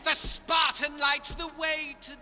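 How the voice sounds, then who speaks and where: Gleeful male messenger god, high, slow, singsong mockery for a game, long reverb. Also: little pitch movement, sharp tone, exaggerated voice. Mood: gleeful taunting. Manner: mocking, singsong, drawn-out jeering. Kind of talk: theatrical video game acting. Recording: long reverb, music behind. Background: music.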